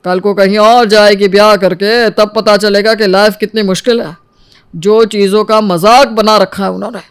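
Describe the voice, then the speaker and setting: A man's voice: sits high, fairly even intonation, moderate pace, clear, resonant tone, dry recording, studio quality. A man playing a gossipy, judgmental lawyer in a story, with an insinuating, disapproving way of talking. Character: judgmental, gossipy male divorce lawyer stirring up resentment.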